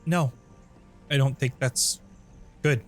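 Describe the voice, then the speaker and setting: A man's voice: medium pitch, fairly even intonation, slow, faint music behind, natural voice. A man playing a guarded, self-reliant wounded traveler in a tabletop game, curt and defensive.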